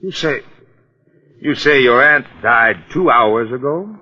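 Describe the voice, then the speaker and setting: An actor playing a polite, persistent police detective in 1940s radio drama, probing and courteous. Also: male voice, medium pitch, animated, slow. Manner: probing, courteous, hesitant phrasing. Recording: muffled, narrow-band old radio recording.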